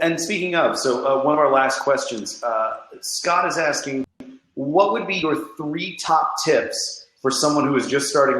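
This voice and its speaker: A man's voice: mid-range voice, fairly bright tone. A man hosting a casual podcast interview and putting questions to guests. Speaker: podcast host. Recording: very echoey room.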